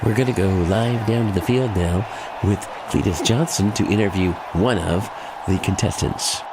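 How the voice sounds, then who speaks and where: A man plays a comedy radio sports commentator with a very low voice. He reports on an absurd contest over clear background noise. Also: normal speed, lightly acted. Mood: matter-of-fact. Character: male sports commentator at absurd Olympic event.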